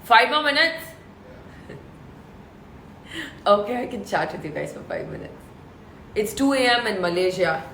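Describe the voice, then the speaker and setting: A woman in her thirties with a deep voice, chatting casually with fans on a live stream and reading out their questions. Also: animated, unhurried, pauses often, a bit bright, reverberant, a little hiss or hum. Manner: chatty, laughs often, reads out questions.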